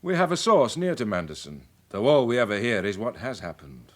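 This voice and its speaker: Worldly British chief inspector, middle-aged. Low-pitched, animated delivery, measured, explanatory, wry. Radio drama. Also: male voice. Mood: amused, confiding. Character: worldly, wry senior police chief briefing his sergeant.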